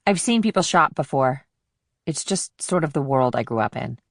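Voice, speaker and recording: A woman's voice: a bass voice, lively intonation, normal speed, no echo, studio quality, full character voice. A woman playing a sarcastic, guarded, streetwise teenage girl in audiobook dialogue, with a flippant manner. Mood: matter-of-fact.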